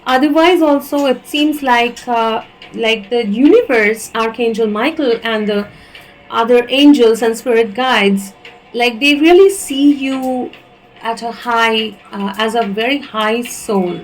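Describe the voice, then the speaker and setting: A woman's voice: a little high, unhurried, poor audio. A woman from India with an Indian accent, giving a channelled tarot reading and speaking hesitantly, with many fillers and restarts. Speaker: tarot reader.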